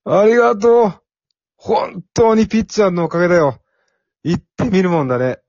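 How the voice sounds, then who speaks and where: A man's voice: sits high, animated, normal speed, darkish tone, dry recording, muffled recording. A man playing a timid, earnest young office worker in an amateur radio drama, with plain boyish phrasing. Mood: overjoyed.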